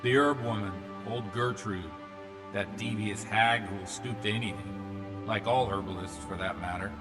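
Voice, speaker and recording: An actor playing an earnest, fretful, paternal village priest in a video game, speaking in an emphatic, sermonizing manner. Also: male voice, fairly low pitch, fairly even intonation, normal speed, close to an everyday voice. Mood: disapproving. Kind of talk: dramatic video game acting. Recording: long hall-like reverb under music.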